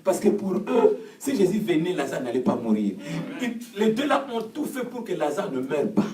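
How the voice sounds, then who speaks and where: A man preaching with call and response in a church hall, fervent and repetitive, often shouting. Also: sits high, big pitch swings, normal speed. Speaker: pastor. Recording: echoey hall, distant mic, congregation noise. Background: congregation answering.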